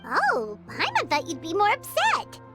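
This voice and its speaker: A woman voicing a tiny, childlike, chatty and opinionated fairy companion in a video game, with a squeaky voice. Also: wildly swooping pitch, measured pace, loud music behind the voice, exaggerated voice. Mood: indignant, curious. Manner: squeaky, speaks of herself in third person.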